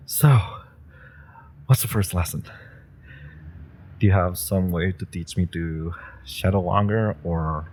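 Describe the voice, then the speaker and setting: A man's voice: low voice, husky, full character voice. A man voicing an eager, nervous young rookie villain who stammers and hesitates, in an audio roleplay. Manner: hesitant, stammering, many fillers. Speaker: listener roleplay actor.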